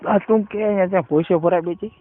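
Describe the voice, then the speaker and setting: A man's voice: sits high, steady tone, quick pace, a little hiss or hum. A tinny phone line carries a man's voice with a Gujarati accent. He talks casually and briefly, in everyday colloquial speech, nudging the conversation along with short questions. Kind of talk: casual private phone chat. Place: recorded phone call. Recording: muffled narrowband phone call recording.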